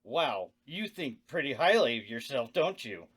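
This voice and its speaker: An actor voicing a crude, tormented slasher killer at a table read, menacing and then desperate. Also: male voice, mid-range voice, sing-song, measured pace, fairly bright tone, breathy edge, full character voice.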